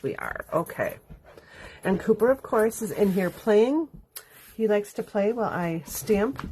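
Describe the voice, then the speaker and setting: A woman's voice: medium pitch, slightly rough. A congested woman with a nasal sound, chatting casually and rambling while teaching a card-making class live from home. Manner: chatty, rambling, laughs often. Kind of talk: casual livestream chat.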